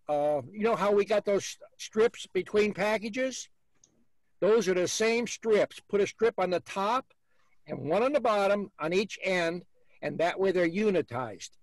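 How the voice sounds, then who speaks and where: A man's voice: high-pitched, no room sound, low-quality recording. Blunt folksy beekeeper man. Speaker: beekeeper host. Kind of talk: casual video call advice. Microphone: video call.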